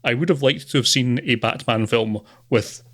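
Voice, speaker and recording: A man co-hosting a film podcast, chatting casually in a hesitant, measured way. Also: medium pitch. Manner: hesitant, measured, laughs often. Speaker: podcast co-host. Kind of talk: casual film review chat.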